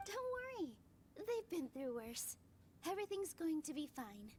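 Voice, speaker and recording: A woman playing a gentle, earnest young dancer in a video game, speaking sweetly and politely. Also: high-pitched, very animated, normal speed, a little hiss or hum. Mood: calm, determined.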